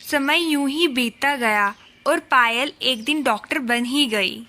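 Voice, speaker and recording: Slow, bright, high-pitched woman narrator. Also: slight background noise. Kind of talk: scripted children's story narration.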